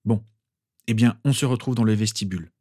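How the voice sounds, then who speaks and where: A man's voice: fairly low pitch, brisk, a little mellow, lightly acted. A man voicing a stern, protective father and teacher in an audiobook, firm and lecturing. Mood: firm, instructing.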